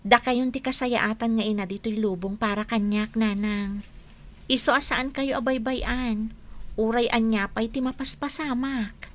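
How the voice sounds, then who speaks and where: A woman's voice: a little high, steady tone, a little slow, fairly bright tone. A woman playing a devoted, polite young daughter in a radio drama, with an Ilocano accent. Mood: affectionate.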